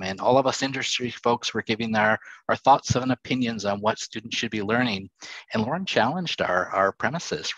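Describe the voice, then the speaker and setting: A man with a fairly low voice moderates a panel in a conversational style. The sound is dry, with hardly any room to it. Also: fairly bright tone, no room sound, muffled recording.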